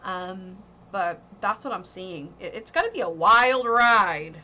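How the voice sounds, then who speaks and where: A woman's voice: medium pitch, slow, pushed and bright. A woman in her thirties giving a casual livestream tarot reading, rambling with lots of ums and likes. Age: 30s. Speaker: tarot reader and streamer.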